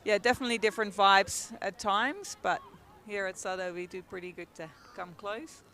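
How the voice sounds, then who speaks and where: Dutch-accented young woman, gravelly and bright, chatty guest commentator. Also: mid-range voice, steady tone, moderate pace. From Netherlands. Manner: chatty, storytelling, frequent ums and laughs. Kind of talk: casual sports commentary. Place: outdoors at a field.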